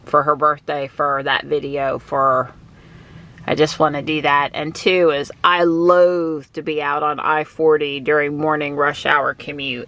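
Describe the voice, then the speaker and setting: A woman vlogging from behind the wheel of her car, chatting and thinking out loud. She has a stuffy nose from allergies. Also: low voice. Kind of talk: casual thinking aloud while driving. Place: inside a car while driving.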